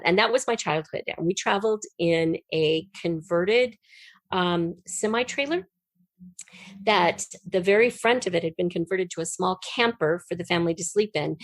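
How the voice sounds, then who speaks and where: A woman's voice: fairly low pitch, no echo. A woman talking conversationally as an interview guest, telling stories in a rambling, colloquial way. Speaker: interview guest, audio drama writer.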